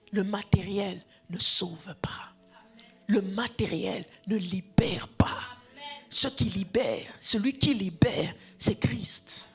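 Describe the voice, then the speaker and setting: A woman whose first language is Swahili, preaching a sermon, dropping to hushed whispers and then rising to shouts, repeating phrases for emphasis. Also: fairly high pitch, slightly rough. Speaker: preacher, addressed as apostle.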